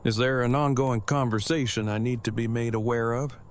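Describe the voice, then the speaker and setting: A man's voice: medium pitch, fairly even intonation, moderate pace, lightly acted. A man playing an earnest, brainy, protective father in an audio drama, speaking in formal, wordy, precise sentences. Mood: matter-of-fact.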